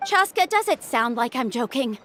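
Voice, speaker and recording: High-pitched exasperated young scolding sister. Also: female voice, sing-song, normal speed, bright, forceful tone, a little hiss or hum. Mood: indignant. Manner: stern, scolding. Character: exasperated young female doctor scolding her reckless sister. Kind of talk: animated game voice acting.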